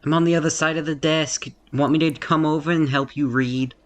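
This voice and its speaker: A man's voice: a little high, steady tone, fairly quick, close to an everyday voice. A man playing a sassy, exasperated detective's secretary in a comedic audio drama, with blunt, dry sarcasm. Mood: incredulous.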